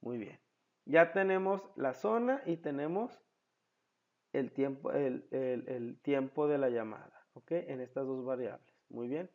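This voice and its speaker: A man's voice: medium pitch, measured pace, phone-quality sound. A man giving a step-by-step screen tutorial as a programming instructor, asking questions and answering them himself in a didactic way.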